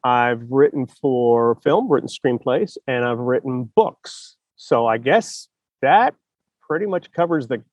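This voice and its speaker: A man's voice: medium pitch, animated, slow pace, no echo. A man co-hosting a casual podcast about writing, talking over a video call from a city park in a jokey, rambling way and laughing often. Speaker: co-host, writer. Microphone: video call over spotty public Wi-Fi.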